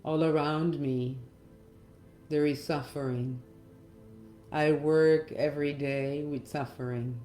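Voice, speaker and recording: A middle-aged woman gives a reflective guided meditation talk in a gentle, measured manner with pauses. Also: low-pitched, steady tone. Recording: roomy echo, faint music underneath. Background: faint music.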